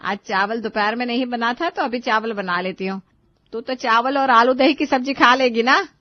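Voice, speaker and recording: A woman's voice: fairly high pitch, normal speed, no echo, lo-fi sound, pitched above the performer's own voice, close to an everyday voice. A woman voicing a weary, placating middle-aged mother in a cartoon family story, coaxing and plaintive. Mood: caring.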